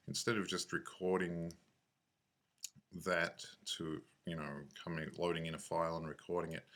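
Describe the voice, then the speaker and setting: Low, flat-voiced man thinking aloud. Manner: halting, thinking aloud, self-correcting. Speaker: software tutorial instructor. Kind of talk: unscripted screencast tutorial narration.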